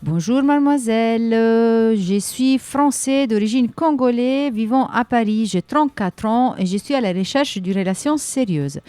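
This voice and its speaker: Higher-pitched woman hosting a radio segment. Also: animated, measured pace, few pauses, warm tone, smooth, no room sound. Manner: friendly, conversational, with frequent hesitation fillers. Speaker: radio show host. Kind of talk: radio segment host reading listener personal ads and short news.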